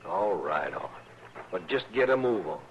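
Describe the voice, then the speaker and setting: A man playing an impatient outlaw gang leader in a radio western, gruff and bossy. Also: low voice, animated, measured pace. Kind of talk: 1950s radio drama acting. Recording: dull old radio broadcast, faint hiss.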